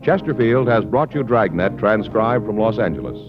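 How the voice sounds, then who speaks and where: A man's voice: fairly low pitch, expressive, moderate pace. An American man announcing in a polished, emphatic 1950s radio style. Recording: muffled old radio recording. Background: brass music.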